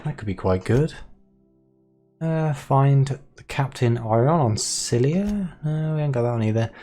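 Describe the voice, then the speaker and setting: A man playing through a game and commenting wryly, his voice hoarse from a recent cold. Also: mid-range voice, warm tone. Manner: wry, amused. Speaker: gaming video host. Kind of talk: gameplay commentary.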